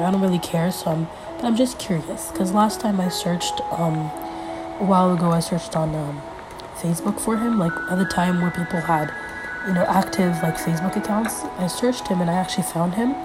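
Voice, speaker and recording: A young woman hosting a casual solo podcast, a little congested from being sick, rambling with lots of fillers as she thinks out loud. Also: lowish voice, normal speed, loud music behind the voice, low-quality recording. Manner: rambling, filler-heavy, thinking aloud while flipping pages. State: congested from a recent illness. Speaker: podcast host.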